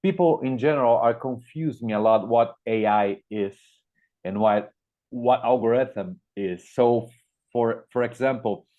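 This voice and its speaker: A man's voice: mid-range voice, unhurried. A middle-aged Brazilian man with a Portuguese-speaker's accent, giving an informal conference talk and hesitantly repeating words as he thinks. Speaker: guest speaker, media educator. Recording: thin, phone-like online call audio.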